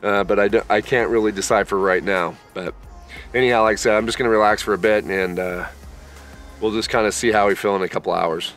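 A man in his thirties vlogging at an outdoor campsite, talking in a slow, worn-out, easygoing way after being sick. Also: lowish voice, fairly even intonation, a bit bright, soft background music. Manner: laid-back, tired, unhurried with many fillers. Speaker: camping vlogger. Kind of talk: casual camp vlog talk to camera. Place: outdoor pine forest campsite.

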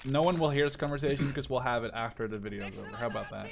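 A man in his twenties from the Netherlands chats with a friend as they play a war shooter. He rambles and jokes, breaking into loud excited shouts, and his voice sits at an ordinary male pitch. Gunfire crackles faintly under his voice. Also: racing, creaky. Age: 20s. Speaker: gaming channel host. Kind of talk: casual gameplay chat with a friend.

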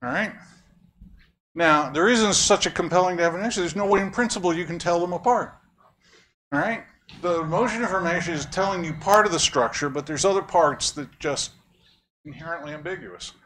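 A man's voice: on the high side, a bit bright, slight background noise. A man giving a lecture, explaining ideas conversationally, quizzing audience members and cracking wry jokes. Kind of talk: academic lecture with audience questions. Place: lecture room.

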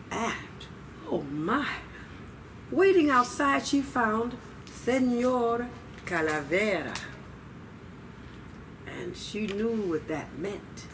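An older woman telling stories to children at a library, deliberate and expressive, with dramatic pauses. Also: medium pitch. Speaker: library storyteller. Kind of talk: children's story time, expressive read-aloud. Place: library children's room, indoors. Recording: distant mic, noisy room ambience.

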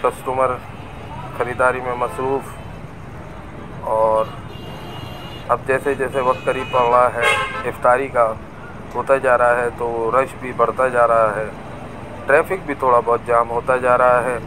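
Male vlogger, even and unhurried, recorded on a bike in a loud market street. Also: mid-range voice. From Pakistan. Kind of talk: street tour narration. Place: busy market street outdoors. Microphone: camera on a moving bike. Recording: noisy outdoor street recording. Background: traffic and crowd noise.

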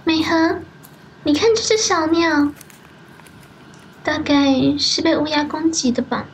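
A woman's voice: sits high, takes it slowly, slight background noise, poor audio. A woman voicing an eerie, capricious ghost girl, tender yet cold, in an amateur audio drama. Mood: tender, pitying.

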